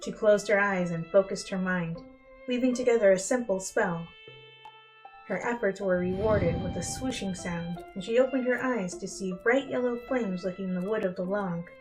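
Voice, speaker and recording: A woman's voice: medium pitch, moderate pace, poor audio. A woman narrating a story as a dramatic reading, with instrumental music behind her. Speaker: fan fiction reader.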